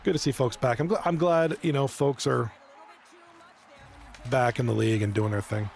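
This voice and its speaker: A man with a hoarse, fading voice doing casual play-by-play commentary on a live stream, chatting with viewers between plays. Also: medium pitch, steady tone, moderate pace, a little mellow. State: hoarse, losing his voice. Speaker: esports league commentator.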